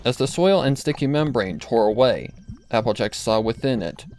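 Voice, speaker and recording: A man's voice: mid-range voice, expressive, normal speed, a little mellow. Male story narrator, faint background noise. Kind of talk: scripted narration.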